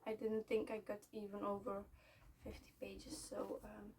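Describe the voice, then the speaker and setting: A young woman from Belgium, a native Dutch speaker, chatting casually at home about books she is selling, pausing often with fillers and restarts. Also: mid-range voice, completely flat delivery, a little mellow. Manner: halting, many fillers and restarts. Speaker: book vlogger. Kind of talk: casual book unhaul chat. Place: at home, seated. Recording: somewhat distant mic, faint room noise.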